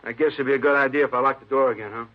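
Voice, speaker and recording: Fast-talking, chatty store manager played by a man. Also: medium pitch, fairly even intonation, lightly acted. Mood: flustered, polite. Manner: hesitant, chatty, seeking agreement. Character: talkative, puzzled male appliance store manager. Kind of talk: 1950s radio drama acting. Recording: muffled old radio recording.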